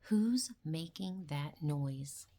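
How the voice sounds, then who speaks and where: An older woman reading a children's picture book aloud in an expressive, deliberate manner, with dramatic questions and sound words. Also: low-pitched, slight background noise. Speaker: grandmother reading a storybook aloud.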